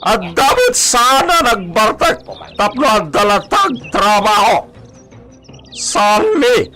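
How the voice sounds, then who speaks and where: Shrill, cackling bandit boss. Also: male voice, huge pitch swings, slow pace, music in the background, full character voice. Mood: mocking. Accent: Filipino. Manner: taunting, drawn out, cackling. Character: mocking male bandit boss, menacing and gleeful. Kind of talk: melodramatic radio drama acting.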